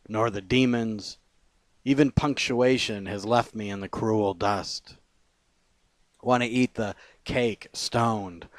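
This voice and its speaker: A man with a fairly low voice gives a spoken-word poetry reading. He phrases deliberately, pausing between short lines. The sound is dry, with very little room around the voice. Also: dry recording. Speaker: poet.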